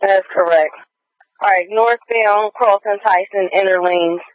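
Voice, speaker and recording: A man's voice: sits high, fairly even intonation, unhurried. A man working as a police radio dispatcher, relaying a live pursuit in clipped, repetitive procedural phrases over a radio channel. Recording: dull lo-fi police scanner radio.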